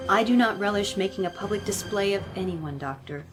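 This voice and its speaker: A woman performs as a stern female religious leader, zealous and formal. She preaches and commands in audio drama style, with music clearly playing behind her. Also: medium pitch, brisk, natural voice. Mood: cold, commanding.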